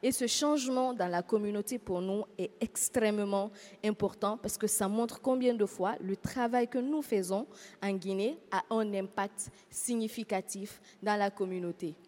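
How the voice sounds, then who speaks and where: A woman's voice: medium pitch, normal speed, darkish tone. A woman panelist and activist speaking earnestly at a panel. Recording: reverberant hall.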